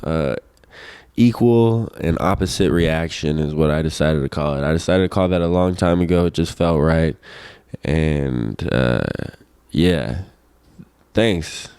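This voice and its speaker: A man's voice: very deep voice, unhurried, darkish tone, smooth, no room sound, studio quality. A man in his twenties chatting casually to his audience, rambling in a laid-back, slangy way with some swearing. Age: 20s. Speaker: host of a personal vlog show. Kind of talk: casual rambling solo chat.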